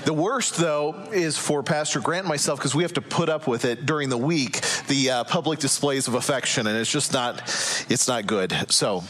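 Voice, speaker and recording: A man's voice: medium pitch, moderate pace, a bit bright, slight background noise. A male pastor preaching to his congregation in a church, starting with some wry, joking remarks and then moving into earnest, conversational Bible teaching. He projects his voice without a microphone. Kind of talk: sermon. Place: church sanctuary. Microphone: no microphone, loud projecting voice.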